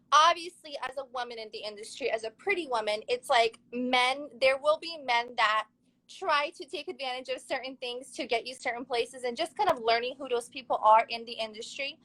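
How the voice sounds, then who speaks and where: A woman's voice: sits high, pushed and bright, poor audio. A young woman from Serbia, a model and actress chatting casually as an interview guest, upbeat and laughing easily.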